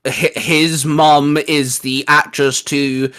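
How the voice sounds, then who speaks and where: A man co-hosting a geek show, chatting casually like a fan in a slangy, animated storytelling way. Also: a little high, slow. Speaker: co-host of a geek culture show.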